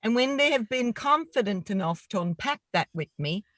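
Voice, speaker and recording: A woman's voice: a little high, lively intonation, moderate pace, a bit bright, no echo, clean studio sound. A woman with a rural Irish accent speaking earnestly and emphatically as a panelist in a discussion. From Ireland.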